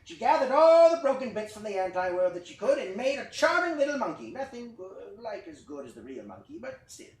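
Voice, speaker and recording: A man acting as a storyteller in a play reading, telling a mock-epic fable with dramatic pauses and wry asides. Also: high voice, big pitch swings. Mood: storytelling. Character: lively puppet-show storyteller spinning a mock-epic creation fable. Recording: roomy, distant mic, faint noise.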